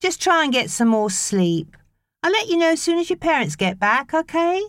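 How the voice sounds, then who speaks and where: A woman's voice: high-pitched, very animated, normal speed, no echo, studio quality, pitched above the performer's own voice. A woman voicing a chatty, caring teenage girl babysitter who gets a little exasperated, in an audiobook story. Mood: concerned.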